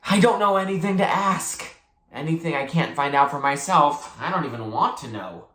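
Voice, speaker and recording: Blunt, stubborn boy in a storybook, played by an adult reader, grumbly slang, lively swings, roomy. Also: medium pitch, normal speed, lightly acted. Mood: grudging. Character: stubborn, worried sporty boy, grudging and blunt.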